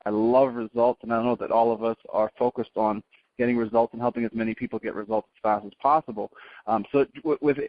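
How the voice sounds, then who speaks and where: A man's voice: medium pitch, fairly even intonation, fast talker. A man originally from South Africa, now without the accent, telling a motivational story as a guest speaker on a conference call, earnest and often restarting his words. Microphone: phone line. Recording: muffled dry phone line.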